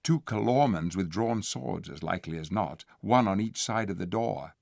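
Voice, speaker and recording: A man voicing a calm, courageous young king who speaks quietly. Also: deep voice, normal speed, creaky, no room sound, clean studio sound, full character voice. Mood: quietly grave. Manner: quiet, steady.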